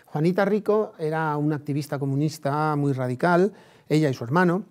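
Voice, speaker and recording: A man from Spain with a Spanish accent gives conversational commentary on history and politics in a discursive, halting way, repeating phrases and adding tag questions. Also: fairly high pitch.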